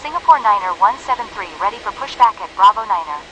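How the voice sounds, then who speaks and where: A woman's voice: medium pitch, animated, fast talker, sharp tone, slight background noise, poor audio. A woman playing a procedural pilot or controller in a flight game, speaking clipped aviation radio phraseology. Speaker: pilot and air traffic radio voice in a simulation game.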